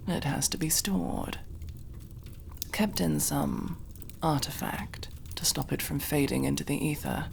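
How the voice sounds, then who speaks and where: A woman playing a mocking, menacing elf interrogator in an ASMR roleplay, gravelly, drawing out taunts and dropping into breathy whispers. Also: low voice, slight background noise, exaggerated voice.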